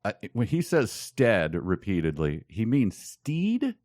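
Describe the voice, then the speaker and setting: Male podcast co-host, lower register, highly animated inflection, casual, amused and mock-baffled. Dry recording. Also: slow, no echo. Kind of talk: casual chat, comic book discussion.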